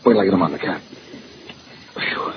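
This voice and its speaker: A man's voice: low-pitched, a little fast, lightly acted. A man playing a cool, decisive amateur detective in 1940s radio mystery style. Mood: brisk. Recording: noisy, muffled old radio recording.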